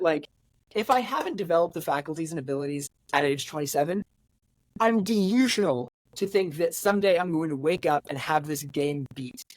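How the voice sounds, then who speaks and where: A man's voice: high voice, no room sound. Reflective man in his twenties, dry close sound. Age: 20s. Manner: reflective, halting, many fillers and restarts. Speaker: podcast guest. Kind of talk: candid conversational interview.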